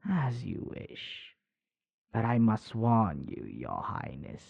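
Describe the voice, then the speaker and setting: A man voicing a stern, suspicious royal official in an audio roleplay, with a formal, measured, probing manner. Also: fairly low pitch, huge pitch swings, vocal fry, breathy edge, muffled recording, exaggerated voice. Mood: ominous, formal.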